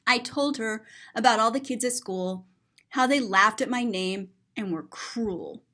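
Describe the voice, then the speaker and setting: A woman's voice: on the high side, unhurried, sharp tone. A woman voicing a sensitive, big-hearted little girl who tells her own story in an earnest, rhyming storybook style. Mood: sad, hurt.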